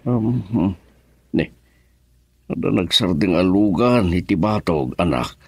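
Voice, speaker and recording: A man's voice: deep voice, expressive, slow, husky, no echo, heavily acted. An actor playing a concerned, questioning middle-aged father in a radio drama, with a Filipino accent. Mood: puzzled, concerned. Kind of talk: Ilocano radio drama acting.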